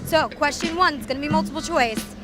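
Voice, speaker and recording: A female TV co-host with a high voice runs a street quiz outdoors, reading out questions and choices. Loud music plays behind her. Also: a little fast. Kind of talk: game show street quizzing. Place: outdoors on a busy city street.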